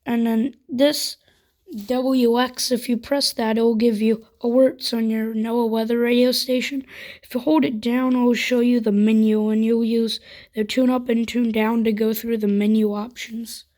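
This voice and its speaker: Female product reviewer, higher-pitched, informal, walking through features step by step in a casual demo. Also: level tone, moderate pace, darkish tone.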